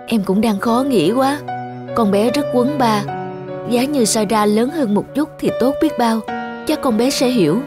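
Woman playing a gentle, pleading young mother. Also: on the high side, unhurried, natural voice. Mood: worried, pleading. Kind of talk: cartoon voice acting. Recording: music clearly behind the voice.

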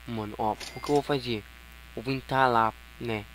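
A man's voice: mid-range voice, fairly even intonation, normal speed, fairly bright tone. A Brazilian man giving casual, slangy gameplay commentary, often muttering to himself. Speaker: gaming YouTuber. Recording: noisy home recording with electrical hum.

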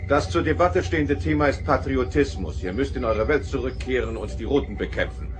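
An actor playing an arrogant, mocking alien being in a science-fiction radio play, with pompous, archaic and theatrical delivery. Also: male voice, mid-range voice, brisk, close to an everyday voice. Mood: lecturing, scornful. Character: arrogant, mocking, all-powerful alien being judging humanity. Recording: radio play with loud music underneath.

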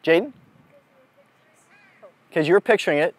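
Higher-pitched man coaching a group outdoors. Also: animated, no echo. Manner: deliberate, instructive, asks the group questions. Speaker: mental toughness coach leading a youth clinic. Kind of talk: motivational lesson to a group. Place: outdoors on an amphitheater lawn.

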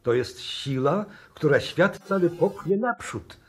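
Husky, earnest male scientist. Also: a little high, animated, measured pace. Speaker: radio drama actor. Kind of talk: 1960s radio drama acting.

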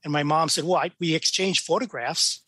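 A man's voice: on the high side, fairly quick, pushed and bright, husky, no echo, clean studio sound. An American man in his sixties from California, a judge telling his story casually as an interview guest. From California, United States. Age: 60s.

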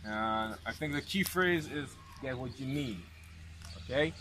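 A young tour guide talks to a seated group outdoors, explaining things and asking them questions. His voice is mid-pitched and close to the camera. Birds and traffic hiss behind him. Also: lively intonation, unhurried, pushed and bright. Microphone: handheld camera held close by the filmer. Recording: outdoor noise, birds and passing vehicles.

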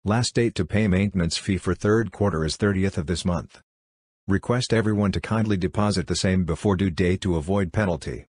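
Deep, husky man acting, formal. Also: a little fast, a little mellow, no echo, clean studio sound. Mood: formal, polite. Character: formal, polite man speaking over building intercom. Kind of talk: scripted demo role-play, formal.

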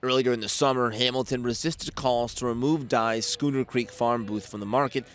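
A man narrating a local TV news report in an even, measured newsreader delivery. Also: medium pitch.

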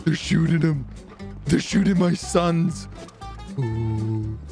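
An excitable man giving giggly gameplay roleplay commentary, often repeating himself. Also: fairly high pitch, takes it slowly, soft background music. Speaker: gaming video creator.

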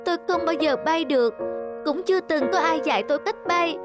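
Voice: very high voice, fairly quick. An actor voicing a shy, dejected young parrot in a cartoon dub, with music behind. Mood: dejected, defensive. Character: shy, gloomy young parrot who cannot fly. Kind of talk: cartoon dubbing. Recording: loud music under the voice.

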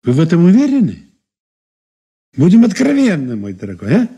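Sly old man, animated delivery. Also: high-pitched, brisk, breathy edge, full character voice. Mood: sly, ironic. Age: older. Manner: courteous, sly, condescending endearments. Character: shrewd, ironic old man being questioned. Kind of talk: Soviet radio play acting, 1980s.

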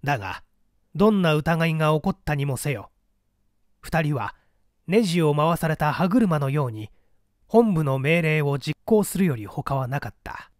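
A male audiobook narrator reads a story in a grave, deliberate and dramatic way. His voice sits slightly high and moves through a wide range of pitch. The recording is very dry. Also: expressive, no room sound. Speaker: audiobook reader, stage actor. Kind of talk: dramatic scripted literary narration.

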